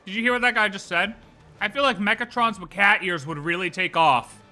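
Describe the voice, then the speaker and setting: A man's voice: high voice, brisk, soft background music. A man streaming a live game reaction, joking and rambling as he plays. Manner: animated, jokey, rambling.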